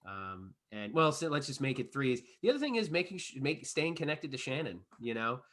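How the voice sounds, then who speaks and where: A man's voice: medium pitch, sing-song, brisk, fairly bright tone. A man, a stage actor, chatting casually in an interview, choosing and correcting his words with care.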